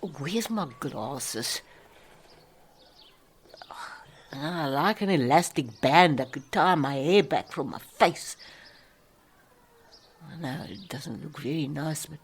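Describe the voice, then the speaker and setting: An ageing South African woman who is down and out. Her speech is slow and rambling, full of muttering to herself that breaks into feisty bursts. It is a lonely, defiant monologue from a radio play. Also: sits low, lively intonation, slight background noise, exaggerated voice. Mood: wistful, muttering.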